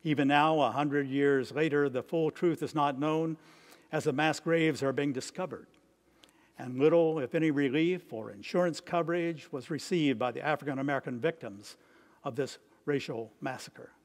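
An elderly man delivers a sermon from the lectern of a stone church. His voice sits a little high for a man. He reads from his notes in deliberate phrases, pausing between them. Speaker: priest, preacher.

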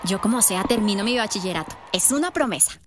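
A woman's voice: mid-range voice, animated, fast talker, close to an everyday voice. An actress with a Colombian accent playing an earnest, determined pregnant teenage schoolgirl in a radio drama. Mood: grateful, hopeful.

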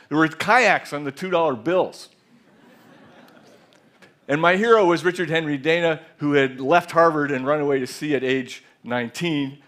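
A man in his sixties telling a measured, reflective story in a talk on a large theater stage, with a headset mic. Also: mid-range voice, a bit bright. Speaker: speaker. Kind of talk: conference talk.

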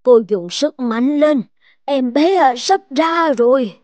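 Slow-talking, high-voiced anxious old midwife. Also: female voice, expressive, a little mellow, no room sound. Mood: urging. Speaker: voice actor. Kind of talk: animated fairy-tale dubbing.

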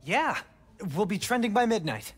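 An actor playing a supportive, easygoing teenage best friend in a video game, talking casually. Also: male voice, sits high, big pitch swings, normal speed, breathy edge, full character voice. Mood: playful.

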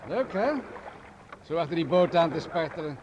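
An actor playing a menacing, wealthy crime boss in a radio play, coolly sadistic, condescending and mocking. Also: male voice, a little high, expressive, normal speed, highs cut off, background noise, close to an everyday voice. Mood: mocking, gloating. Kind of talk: vintage Dutch radio play acting.

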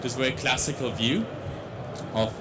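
A man's voice: medium pitch, normal speed, noisy background. A young man with a Dutch accent giving a tech conference talk on a large stage into a handheld mic, with many restarts and fillers. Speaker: conference speaker.

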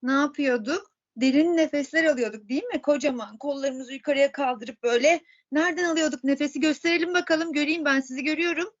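Very high-voiced woman teaching children. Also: fast talker, muffled recording. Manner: encouraging, repeats questions to the children. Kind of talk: guided relaxation instruction for children.

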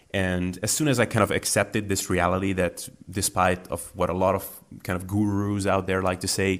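A man, a guest in a podcast interview, talking in a relaxed, conversational way. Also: sits low. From Hungary. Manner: relaxed and conversational, with repeated restarts. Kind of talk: casual interview talk.

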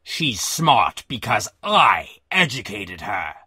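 A woman voicing an enraged gray-haired male general, a vengeful father, in a gruff, strained audiobook character voice. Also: very deep voice, very animated, pushed and bright, no room sound, studio quality, pitched below the performer's own voice, full character voice. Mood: bitter, venomous. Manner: emphatic, drawn-out angry delivery.

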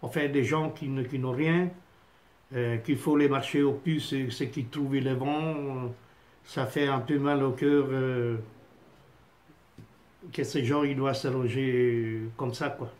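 A man in his fifties with an Italian accent, chatting haltingly to camera from a small home office, with many fillers and restarts. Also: medium pitch, steady tone, darkish tone. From Italy. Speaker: vlogger. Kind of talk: casual rambling monologue to camera. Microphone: phone camera on a stand at desk.

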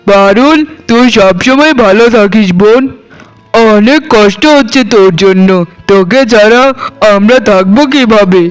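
A man's voice: high voice, measured pace, smooth, soft background music, poor audio. A man voicing a doting, sentimental elder brother of a bride in a cartoon, speaking in a tender, pleading way. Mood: tender, sad. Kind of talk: animated cartoon dialogue.

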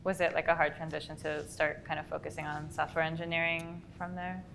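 A woman interviewer asks short, calm questions from away from the mic, so she sounds distant. Her voice is very low and flat, with a gravelly edge, and she speaks quickly. Soft music plays faintly under her.

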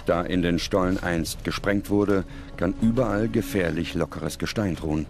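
A man reading scripted documentary narration in a measured, dramatic manner. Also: low-pitched, fairly even intonation, over music.

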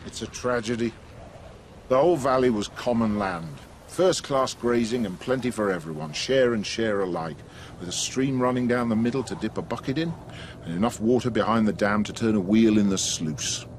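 A man's voice: on the low side, normal speed, lightly acted. An actor in a radio drama playing a wry, self-justifying man who argues his case by reasoning aloud. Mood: rueful. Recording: radio drama with background noise.

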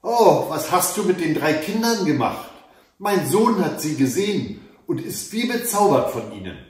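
Scheming elderly queen mother, read by a man, storybook tale, animated and admonishing, very reverberant. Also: fairly high pitch, moderate pace. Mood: anxious reproach. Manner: dramatic, exclamatory, admonishing. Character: scheming old queen mother, lamenting and warning. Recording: very echoey room.

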